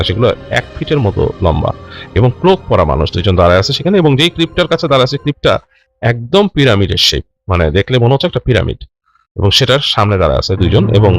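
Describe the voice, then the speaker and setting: A man with a Bangladeshi accent tells a ghost story off the cuff in a conversational, halting way, repeating himself and mixing in English words. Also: mid-range voice. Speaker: horror storyteller. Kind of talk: unscripted spoken ghost-story narration. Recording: music under the voice. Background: background music.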